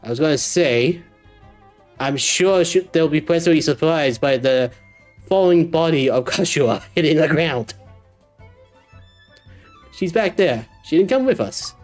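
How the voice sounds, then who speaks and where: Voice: medium pitch, animated, a little slow, pauses often, a little mellow. A streamer giving casual video game commentary and reading on-screen dialogue aloud. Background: game music.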